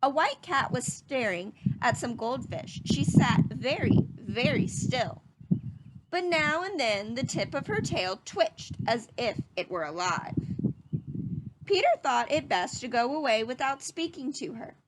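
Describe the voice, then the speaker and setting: A woman's voice: high-pitched, big pitch swings, a little slow, heavy background noise. A woman reading a children's story aloud in a teacherly, explanatory way, as if speaking to young listeners.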